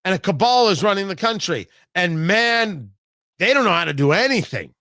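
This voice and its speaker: An American male talk show host with a high-pitched voice and a forceful, bright tone. He delivers opinionated commentary emphatically, hammering points home through repetition. The sound is dry and close. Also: big pitch swings, no echo. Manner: emphatic, deliberate repetition for effect. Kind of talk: opinionated political commentary monologue.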